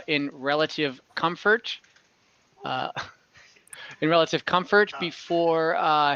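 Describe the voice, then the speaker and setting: A man narrating an online tabletop game as game master, often pausing mid-sentence as he describes scenes. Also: a little high, bright, forceful tone, clean tone. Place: indoor room, online call. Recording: dull, roomy home recording.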